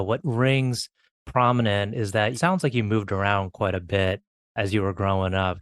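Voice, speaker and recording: Brief: male podcast host, smooth low voice, dry close sound, easygoing questions. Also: no room sound. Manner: relaxed, conversational questioning. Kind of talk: interview questions.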